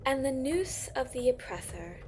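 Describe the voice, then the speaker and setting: A woman's voice: high voice, big pitch swings, normal speed, a little hiss or hum. A woman playing a haughty, solemn judge of mythic beings in a fantasy audio drama, speaking formally. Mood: grave. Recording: loud music under the voice.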